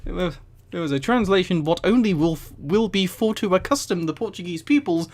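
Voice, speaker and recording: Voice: mid-range voice, animated, normal speed, darkish tone. A streamer who reads aloud in an amused, emphatic way with casual asides, prone to breaking into giggles. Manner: giggly, often breaking into laughter while reading.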